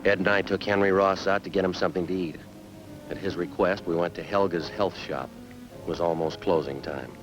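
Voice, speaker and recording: Man playing a low-voiced, deadpan police detective. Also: fairly quick, lo-fi sound, natural voice. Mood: deadpan narrating. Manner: deadpan, clipped, unemotional. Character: terse, businesslike male police detective, narrator and interrogator. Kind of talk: 1950s radio police drama, clipped narration. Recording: old-time radio recording with music.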